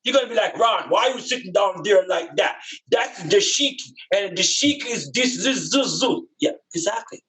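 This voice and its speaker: A man's voice: high-pitched, animated, fairly quick, pushed and bright, poor audio. A man with a Jamaican accent giving a reflective spiritual talk in an emphatic, preaching manner, with repeated phrases and rhetorical questions.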